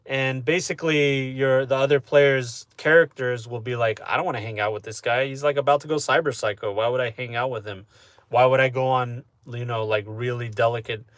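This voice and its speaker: A man chatting casually with his live-stream audience, relaxed and talkative, often asking "you know what I mean?". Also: medium pitch, no echo. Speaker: streamer answering chat.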